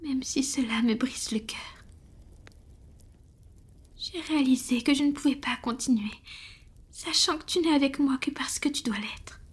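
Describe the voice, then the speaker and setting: A woman playing a tender, devoted female vampire in an intimate roleplay audio, whispering slowly and hesitantly to her lover. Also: sits high, full character voice. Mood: sorrowful, resigned. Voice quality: breathy, whispered. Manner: slow, hesitant, affectionate, addressing a lover.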